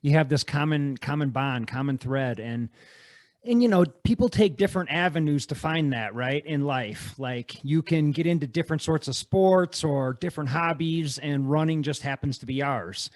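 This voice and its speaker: A man's voice: a little high, normal speed, no room sound. A male podcast host chatting in a laid-back, enthusiastic way and often calling his guest "man". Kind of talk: casual conversation.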